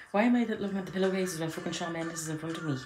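A woman's voice: on the low side, darkish tone, a little hiss or hum. A young Irish woman at home, chatting playfully through a reaction video with whispered asides. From Ireland. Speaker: YouTuber. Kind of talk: casual reaction commentary. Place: indoors at home.

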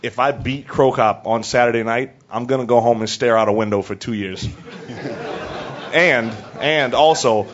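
Young guy at a press conference, nervous and excited, rambly, dull lo-fi audio w a bit of hiss. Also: medium pitch, normal speed.

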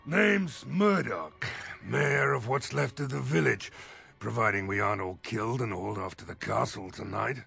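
An actor playing a gruff, weary, skeptical village mayor in a fantasy video game, blunt and grumbling, with a husky voice. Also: male voice, mid-range voice, normal speed, pushed and bright, faint music behind, heavily acted. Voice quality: gravelly, husky.

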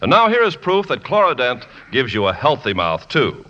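A man's voice: mid-range voice, wildly swooping pitch, normal speed, sharp tone. A man announcing on 1950s radio, reading scripted ads and credits with polished, emphatic salesmanship. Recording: dull, reverberant 1950s radio recording.

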